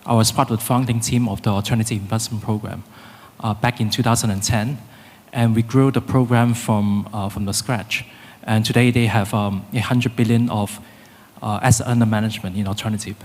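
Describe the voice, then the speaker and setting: Halting man. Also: mid-range voice. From Hong Kong. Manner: halting, many filler pauses. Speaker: conference panelist, fund managing director. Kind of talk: panel self-introduction. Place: conference hall. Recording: long reverb of a conference hall.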